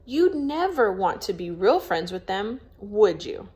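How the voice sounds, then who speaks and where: A woman's voice: mid-range voice, sing-song, a little slow, darkish tone. A woman voicing a frank, skeptical young boy in a storybook, blunt and challenging. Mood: annoyed.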